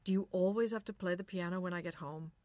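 A woman's voice: fairly low pitch, slow, dry recording. A woman voicing an irritable husband in a story, complaining in a weary, clipped manner. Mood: angry. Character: irritable, grumbling husbands overheard at home.

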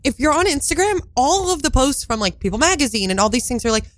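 A woman's voice: high voice, very animated, fast talker, no echo. Congested woman co-hosting casual chat. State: congested, voice still recovering. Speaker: radio show co-host. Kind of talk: casual pop culture chit-chat.

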